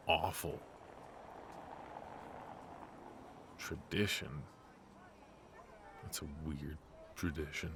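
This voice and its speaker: A man playing a suave, teasing, flirtatious mafia boss in an intimate ASMR roleplay, murmuring asides with hums and pauses. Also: a bass voice, background noise, exaggerated voice. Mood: playful, tender. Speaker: voice actor in an audio roleplay. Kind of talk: ASMR roleplay acting, intimate one-sided conversation.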